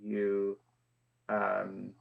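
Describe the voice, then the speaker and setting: A man interviews a guest in a low, gravelly voice with little pitch movement. He speaks very slowly and hesitantly, and the recording sounds dull and lo-fi. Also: completely flat delivery. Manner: slow, measured, hesitant. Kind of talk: interview questions.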